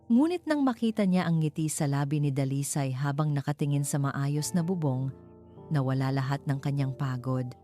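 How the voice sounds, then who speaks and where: A woman's voice: low-pitched, lively intonation, normal speed, mellow, faint music behind. A woman with a Filipino accent reading scripted narration of a romance story as its narrator.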